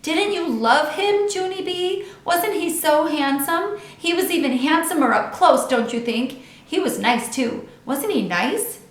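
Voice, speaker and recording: Woman acting out bossy young schoolgirl, raised pitch, emphatic, possessive, storybook reading, echoey room. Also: lively intonation, measured pace, hardly any pauses. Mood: gushing. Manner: insistent, emphatic.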